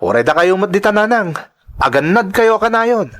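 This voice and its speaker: An earnest young migrant worker in an audio drama, speaking in a slightly high, sincere voice that turns firm and defensive when challenged. A man plays him straightforwardly, with plain honest warmth toward his family. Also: expressive, moderate pace. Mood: affectionate. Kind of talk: Ilocano audio drama acting.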